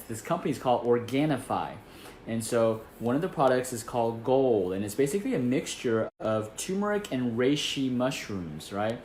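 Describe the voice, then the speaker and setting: Guy from taiwan, acupuncturist doing chatty health tips, average pitch, keeps checking in as he goes. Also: darkish tone, slight background noise. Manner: conversational, checks in with short tag questions. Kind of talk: casual instructional talk to camera.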